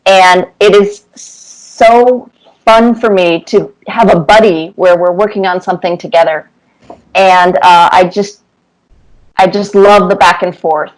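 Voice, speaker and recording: A woman cartoonist answering interview questions with casual anecdotes, telling them in an animated way and repeating words for emphasis. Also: lowish voice, a little slow, poor audio.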